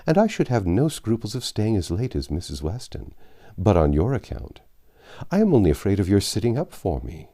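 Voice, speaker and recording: A man's voice: on the low side, very animated, a little fast, warm tone, pitched above the performer's own voice. A man reading a storybook, voicing an affectionate young daughter who politely reassures her father. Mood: reassuring, concerned. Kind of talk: audiobook character voice.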